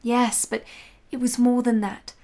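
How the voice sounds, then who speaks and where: An actress playing a tender, secretive nineteenth-century mistress in a radio play, with formal, genteel phrasing. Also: female voice, a little high, level tone, measured pace, warm tone. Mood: confiding, tender. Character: tender, adoring 19th-century Italian mistress, secretive and assured.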